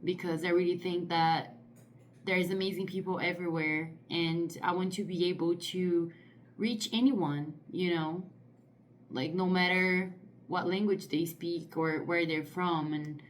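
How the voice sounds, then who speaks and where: Brazilian woman in her thirties, lower-pitched, vlogging slowly in English, thoughtful and searching for words. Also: slight background noise. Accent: Brazilian Portuguese. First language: Portuguese. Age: 30s. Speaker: artist vlogger. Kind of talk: casual talk to camera.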